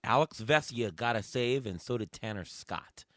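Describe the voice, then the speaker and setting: A hoarse man hosting a sports podcast, firing off questions and thinking aloud in casual chat. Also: mid-range voice, animated, moderate pace, a bit bright, dry recording, clean studio sound. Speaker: podcast host.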